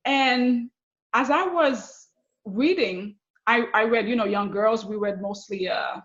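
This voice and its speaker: A middle-aged woman with a Haitian accent telling personal stories as an interview guest, often halting and repeating words. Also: on the high side. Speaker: podcast guest, success coach. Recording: slightly dull, lo-fi connection.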